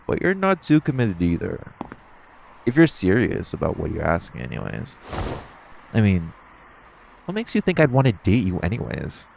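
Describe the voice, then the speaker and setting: A man playing a flirty, teasing, self-assured supervillain thief in an audio roleplay, speaking casually with halting, conversational pauses. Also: sits low, huge pitch swings, gravelly, darkish tone, slight background noise, heavily acted, rough recording. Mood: bashful, skeptical. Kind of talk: intimate second-person audio roleplay, conversational acting.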